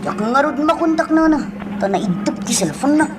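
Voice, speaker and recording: A woman's voice: high-pitched, animated, moderate pace, very noisy. A woman playing a caring, earnest young neighbour and loyal family friend in a radio drama, with an Ilocano accent. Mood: helpful, caring. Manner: solicitous, earnest.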